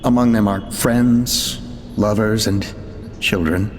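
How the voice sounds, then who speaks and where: A man voicing a zealous male researcher reading out grim log entries in a measured, solemn way. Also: lowish voice, steady tone, a little hiss or hum. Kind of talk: video game voice acting. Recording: long echoing reverb. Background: faint game music.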